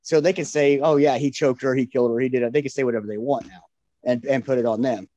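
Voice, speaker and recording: A man's voice: mid-range voice, fairly even intonation, warm tone. Man on a slightly dull call line. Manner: quick, repeats words as he talks. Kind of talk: casual chat.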